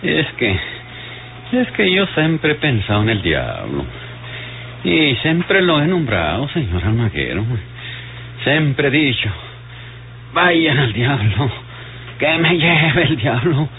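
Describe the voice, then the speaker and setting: A dying old Mexican rogue in a vintage radio serial. He talks haltingly and sardonically, with rustic words and groans, his pitch swinging widely as he drags out each phrase. The old recording is dull and hissy. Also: very animated. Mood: sardonic, rambling. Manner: rustic, sardonic, colloquial speech. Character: ailing, bitter, sardonic dying old man, repentant rogue.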